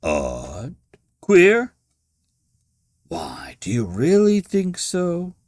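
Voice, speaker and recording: A man voicing a genial, pompous older gentleman who runs a madhouse, in a dramatic storybook reading with theatrical, self-assured delivery. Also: fairly high pitch, wildly swooping pitch, extremely slow, no echo, clean studio sound, voice pushed up, exaggerated voice. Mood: breezy, dismissive.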